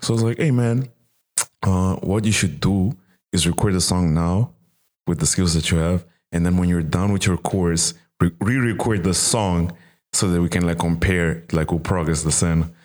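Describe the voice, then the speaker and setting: A man's voice: sits low, normal speed, darkish tone. A young man hosting a casual talk, switching between English and Portuguese mid-sentence. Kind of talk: casual chat.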